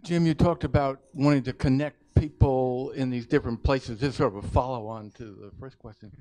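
A man in an audience asking the author a polite, slightly halting follow-up question. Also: medium pitch, fairly even intonation, dark tone.

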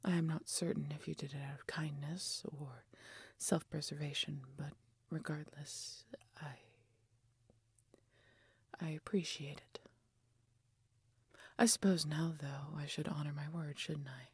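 A proud, grudging female dragon, acted by a woman in a fantasy audio roleplay. Her voice is deep and dark, heavy with breathy huskiness. She speaks with theatrical, imperious weight, like wounded royalty. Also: steady tone, no echo, heavily acted. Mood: softened, grateful. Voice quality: breathy, husky. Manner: imperious, theatrical, deliberate phrasing.

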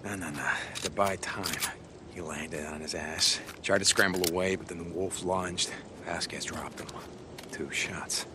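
An actor playing a rugged, cynical American drifter in a video game, blunt and offhand, with a gruff, weary delivery. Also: male voice, deep voice, steady tone, measured pace, noisy background. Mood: thoughtful, wary. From Oregon, United States. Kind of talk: naturalistic video game acting.

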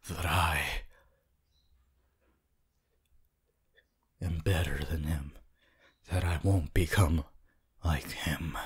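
Man voicing a very husky, brooding young hero. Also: a bass voice, pushed and bright, heavily acted. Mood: defiant. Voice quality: husky, breathy, often near a whisper. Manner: slow, terse, deliberate. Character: cold, brooding, proud teenage hero student with ice powers. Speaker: roleplay voice actor. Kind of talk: ASMR audio roleplay.

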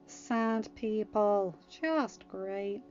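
An eager young farm boy played by a woman in an audiobook, raised in pitch and earnestly excitable. Music plays behind the slightly muffled recording. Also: normal speed. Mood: annoyed.

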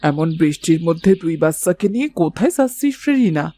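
A woman's voice: on the low side, very animated, fast, dark tone, soft background music. A woman voicing a concerned, inquisitive village neighbour woman in a cartoon. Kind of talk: cartoon voice acting.

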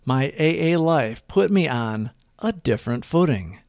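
A man giving a scripted devotional reading as narration, with measured, emphatic phrasing. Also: a little high, expressive, creaky, a little mellow, dry recording, studio quality. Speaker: reader of daily recovery reflections.

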